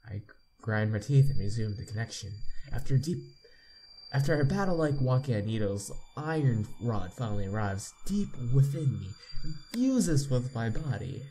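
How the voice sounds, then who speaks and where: A man's voice: medium pitch, very animated, warm tone, slight background noise, rough recording. A man hosting a game playthrough, reading the text aloud with asides and often stumbling and repeating words. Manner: halting reader, repeats misread words. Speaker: playthrough host.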